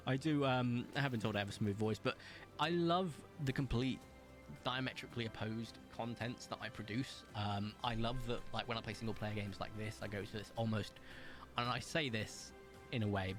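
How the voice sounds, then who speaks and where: A man streaming a game, chatting casually in a calm, smooth voice as he thinks aloud and rambles with fillers. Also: medium pitch, normal speed, over music. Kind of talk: casual gaming livestream chat.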